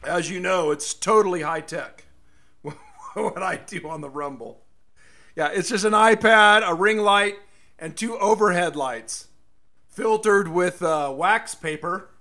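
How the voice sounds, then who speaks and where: High-pitched, slightly hoarse American radio host man. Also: slow pace, bright, forceful tone. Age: middle-aged. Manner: rambling, folksy. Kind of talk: casual solo radio show chat.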